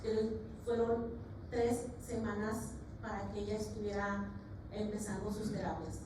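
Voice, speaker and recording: A mother giving a slow, hesitant, grateful personal testimony in a library meeting room. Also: medium pitch, little pitch movement. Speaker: parent speaker. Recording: very echoey room from far off, noisy.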